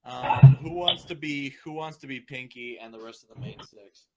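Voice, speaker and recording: A man reading a story aloud in a group and chatting casually, often halting and stumbling over his words. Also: mid-range voice, dark tone, muffled recording. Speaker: amateur fan fiction reader.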